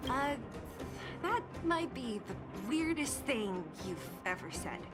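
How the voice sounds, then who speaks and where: A woman's voice: sits high, sing-song, slow pace, heavily acted. An actress voicing a tough, aloof young woman fighter in a video game, speaking in a dry, sardonic, exasperated way. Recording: loud music under the voice.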